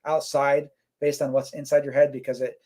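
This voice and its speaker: A man's voice: mid-range voice, normal speed. A man co-hosting a casual live stream, reading out chat comments and rambling with lots of fillers. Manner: relaxed, rambling, many fillers.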